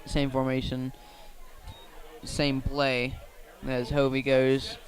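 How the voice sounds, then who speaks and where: A man doing live radio play-by-play of a football game in measured, factual short phrases, speaking from outdoors at the field. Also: medium pitch, monotone. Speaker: play-by-play commentator.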